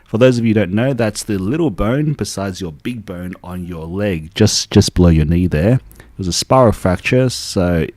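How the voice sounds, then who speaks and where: A young man, born in Taiwan, working as an acupuncturist and telling his story in a relaxed, casual interview full of restarts. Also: deep voice, moderate pace, no echo. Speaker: acupuncturist guest.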